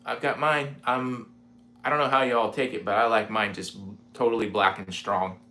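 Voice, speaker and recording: A man in his forties chatting casually on a home livestream, rambling with lots of fillers, with a mellow voice. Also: mid-range voice, normal speed. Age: 40s. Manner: rambling, relaxed, many fillers. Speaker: livestreaming guitarist. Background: guitar playing.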